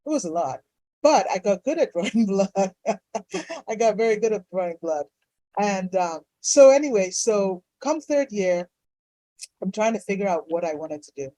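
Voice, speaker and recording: A woman's voice: mid-range voice, lively intonation, moderate pace, mellow, dry recording, poor audio. A middle-aged woman, a doctor being interviewed, telling personal stories casually with effusive enthusiasm. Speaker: fertility doctor, interview guest.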